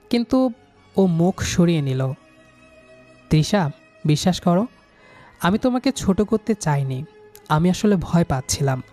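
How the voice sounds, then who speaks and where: A man's voice: high voice, darkish tone. A man narrating a romantic story in the first person, dramatically, with an earnest and emotional delivery. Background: background music.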